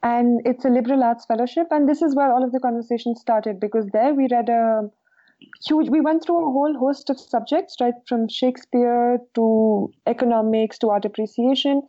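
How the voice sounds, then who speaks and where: A woman's voice: a little high, fairly even intonation, normal speed, mellow. A woman from Kashmir, answering interview questions in an articulate, reflective way with frequent fillers. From Kashmir, India. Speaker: newsletter co-founder, guest. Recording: dull lo-fi sound.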